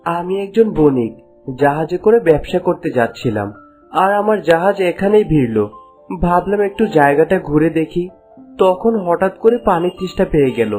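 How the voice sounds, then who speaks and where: A man's voice: fairly high pitch, expressive, normal speed, a little mellow, faint music behind, natural voice. A man voicing a courteous, lovestruck young prince in a fairy-tale cartoon, earnest and romantic. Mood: polite. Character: smitten young prince posing as a merchant, courteous.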